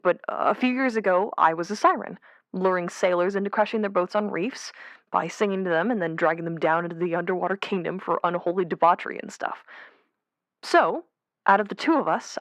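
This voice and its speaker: A woman's voice: mid-range voice, no echo, close to an everyday voice. A woman playing a troubled mermaid calling a radio advice show in an audio drama, conversational, candid and a little hesitant. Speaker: caller. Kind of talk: naturalistic audio drama phone-in.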